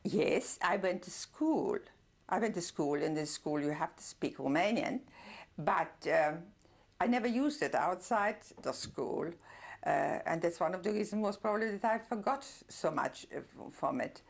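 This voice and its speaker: Older lady, german first language, low gravelly voice, slow thoughtful testimony w/ lots of ums. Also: expressive. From Czernowitz, Bukovina. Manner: reflective, pausing often with fillers, soft laughs. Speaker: interviewee, Holocaust survivor. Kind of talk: oral history testimony.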